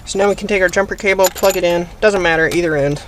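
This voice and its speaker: A man with a slightly high-pitched voice presents a practical how-to. He explains each step plainly and methodically. There is some background noise with vehicle ambience around him. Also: fast talker, a bit bright. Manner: plain, methodical instructional delivery. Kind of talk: hands-on tutorial, explaining step by step.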